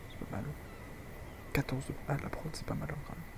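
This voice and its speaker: A man's voice: a little high, flat delivery, a little fast, very noisy. A man with a French accent who has a cold, commenting casually on his strategy game as he plays and chatting with viewers. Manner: rambling, chatty, talks to viewers while playing. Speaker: gaming video host. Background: loud game music.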